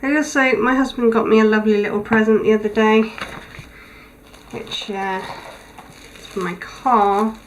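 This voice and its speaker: A tired woman recording a casual video diary, her voice thin and weak, talking haltingly with many pauses. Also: fairly high pitch, steady tone, slight background noise, low-quality recording. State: tired, low on energy. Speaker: vlogger. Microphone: camera mic.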